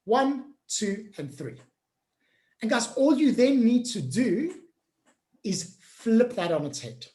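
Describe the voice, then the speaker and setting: A woman trainer leads a video-call workshop, cheering her group on. Her pitch swings up and down a great deal as she speaks. The call picks up a roomy echo around her. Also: big pitch swings, takes it slowly, mellow. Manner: upbeat, encouraging, talks to the group directly. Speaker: change management trainer hosting a webinar. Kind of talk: online workshop coaching.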